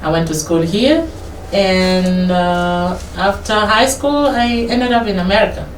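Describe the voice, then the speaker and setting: A woman's voice: medium pitch, a little slow. A Kenyan woman with a Kenyan English accent, probably in her thirties, telling her life story in a casual interview, rambling and correcting herself as she goes. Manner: rambling, self-correcting, laughs while telling. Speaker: interview guest. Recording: echoey, distant mic with background noise.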